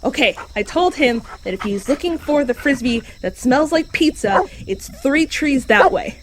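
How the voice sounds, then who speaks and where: A woman's voice: high voice, animated, normal speed, very noisy. A woman voicing an easygoing shapeshifting dog creature who explains things matter-of-factly in an audio drama. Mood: helpful, unbothered. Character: shapeshifting dog creature, easygoing, helpful park navigator and translator. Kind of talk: fiction podcast audio drama acting.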